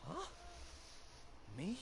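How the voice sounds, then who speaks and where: A man's voice: extremely slow, background noise. An actor playing a troubled, uncertain young man in a video game, with a hesitant, pained manner. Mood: shocked. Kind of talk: scripted video game voice acting.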